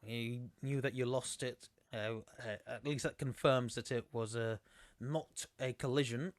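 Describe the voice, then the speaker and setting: A man's voice: medium pitch, steady tone, a little slow, dry recording. A man commentating a sim racing league on a live stream, chatty and rambling, losing his voice. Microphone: desktop microphone.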